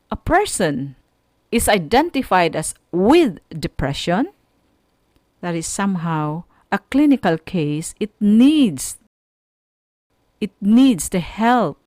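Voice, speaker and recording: A woman teacher with a Filipino accent delivers a radio lesson at an unhurried pace. She explains in short, halting phrases with firm emphasis, her pitch moving expressively. The sound is dry and close. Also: darkish tone, no echo.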